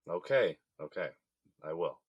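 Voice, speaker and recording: An actor with an American accent playing a regretful, resigned middle-aged husband in jail, subdued and conceding, in an audio drama. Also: male voice, sits low, expressive, a little slow, no echo, dull audio. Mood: resigned, heavy.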